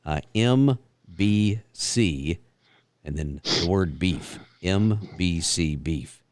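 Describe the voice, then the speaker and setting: Radio host, man, very deep voice, lively pitch, dry mic, quiet music under him, teasing tone. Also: very slow, no echo. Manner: joking, teasing. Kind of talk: casual radio chat.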